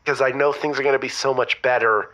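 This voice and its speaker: A man's voice: mid-range voice, steady tone, fast, sharp tone, lightly acted, poor audio. A man playing an earnest, stubborn boyfriend calling a radio show in an audio comedy, hesitant but pedantically insistent. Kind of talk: scripted audio comedy drama.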